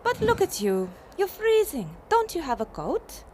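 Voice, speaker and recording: An actress playing a warm, proud feminist mother from Iceland in a radio play, brisk and welcoming as she explains things. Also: very high voice, very animated, slight background noise, exaggerated voice.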